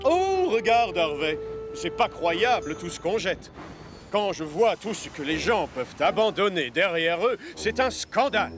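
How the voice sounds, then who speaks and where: Locomotive vantarde à voix haut perchée. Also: male voice, high voice, sing-song, unhurried, over music. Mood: bossy, assured. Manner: boastful, emphatic, self-assured. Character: cocky, bossy, eager male tank engine. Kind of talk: children's cartoon dub acting.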